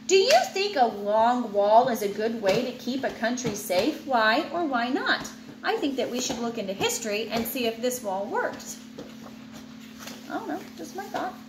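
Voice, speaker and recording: A woman's voice: on the high side, sing-song, moderate pace, noisy background. Woman reading aloud in an echoey room. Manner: reads clearly, stumbles on names, adds asides. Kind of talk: reading a children's nonfiction book aloud. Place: home living room with ceiling fan. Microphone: webcam at desk distance.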